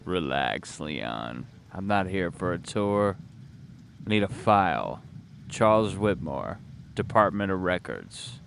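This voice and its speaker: World-weary male detective, acted by a man, low and gravelly, slow deadpan noir narration for audio drama. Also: soft background music, heavily acted. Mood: terse, guarded. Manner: deadpan, terse.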